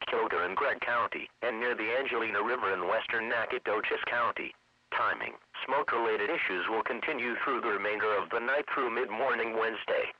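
A man's synthetic voice reading a scripted weather forecast in a measured, impersonal way. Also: medium pitch, dry recording. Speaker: weather radio announcer. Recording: dull lo-fi weather radio broadcast.

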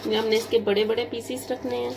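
A woman hosting a cooking channel, calmly listing ingredients and giving step-by-step recipe instructions. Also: on the high side, creaky, background noise. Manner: plain, measured listing of ingredients. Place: home kitchen. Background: frying and stirring sounds.

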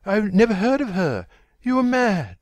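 Guy doing a posh young gentleman, pitched way up, swoopy and urgent, audiobook. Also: sing-song, normal speed, dry recording. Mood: frightened, gasping. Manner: exclamatory, urgent. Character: youthful-looking gentleman, refined, cornered, imperious.

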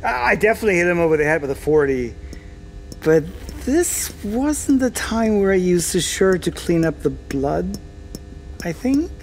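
A man's voice: sits high, very animated, moderate pace, creaky, a little hiss or hum, exaggerated voice. A man playing a crude, unrepentant middle-aged drunkard father in an audio drama, flippant, hesitant and foul-mouthed. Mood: rambling, defensive.

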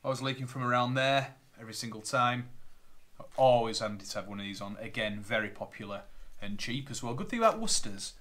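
A man's voice: mid-range voice, lively intonation, fairly quick, a bit bright. A man, a plumber chatting casually as he unpacks and lists boiler parts at home. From Northern England. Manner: chatty, matter-of-fact, rattling off parts. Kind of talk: casual unboxing vlog. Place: living room at home. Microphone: camera on a tripod, seated at a table. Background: plastic bags crinkling.

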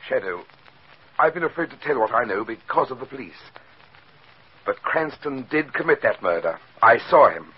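An actor with an American accent playing a smooth-talking, scheming villain who poses as a friend, in 1940s radio style. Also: male voice, medium pitch, lively intonation, moderate pace. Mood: insinuating, persuasive. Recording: dull, hissy 1940s radio recording.

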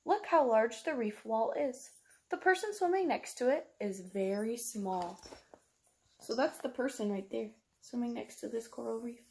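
A woman's voice: fairly high pitch, lively intonation, a little slow, darkish tone. A woman, reading a picture book aloud like a teacher, explaining simply to young children and asking them questions. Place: small room at home, indoors.

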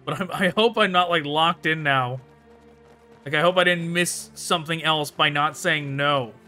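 A man's voice: a little high, moderate pace, sharp tone. A man giving casual commentary as he plays through a game. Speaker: gaming YouTuber, let's player. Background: game music and sounds.

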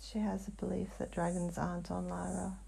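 A woman channeling in a hypnotic session, answering in halting, fragmented phrases with long pauses. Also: on the low side, completely flat delivery, vocal fry, mellow, husky. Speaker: client in a hypnotic healing session. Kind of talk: slow trance channeling, answering questions.

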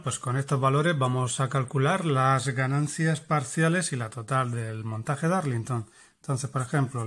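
Man teaching electronics, explaining step by step. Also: mid-range voice. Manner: explanatory, checks in with frequent "vale?". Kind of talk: hands-on lesson demonstrating and calculating.

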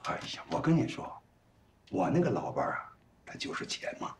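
Grumbling old husband, animated low voice. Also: male voice, fairly quick, slight background noise. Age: older. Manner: grumbling, repetitive, pleading. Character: exasperated, grumbling elderly husband, stubborn about money. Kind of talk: naturalistic TV drama acting. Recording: echoey room, faint background music.

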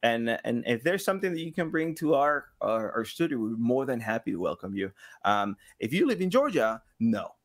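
A man in his thirties talking casually as a podcast guest, halting as he searches for words. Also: mid-range voice. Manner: halting, searching for words, animated quoted asides. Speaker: guest, sound designer and community engagement director at a game studio. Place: small home studio room, indoors. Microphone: microphone on boom arm.

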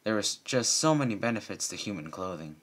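A man with a fairly low voice reads a story aloud like a homemade audiobook. His delivery is a little halting, with the odd stumble. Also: sing-song, fairly bright tone. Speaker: reader of fan fiction. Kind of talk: amateur audiobook-style story reading.

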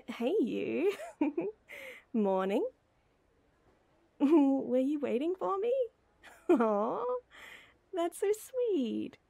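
Woman acting as a flustered lovestruck girlfriend type, high-pitched. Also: creaky, dark tone, dry recording, full character voice. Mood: flustered, teasing. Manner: hesitant, flustered, teasing, giggly. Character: flustered, lovestruck young woman teasing her friend. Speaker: audio roleplay voice actor. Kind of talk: intimate audio roleplay acting.

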